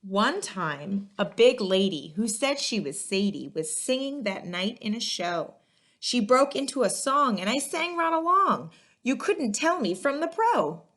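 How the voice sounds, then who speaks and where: A woman with a fairly high voice hosts a read-aloud for children. She phrases things clearly, in the manner of someone telling a picture-book story to young listeners. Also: very animated, unhurried, fairly bright tone. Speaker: museum educator and read-aloud host.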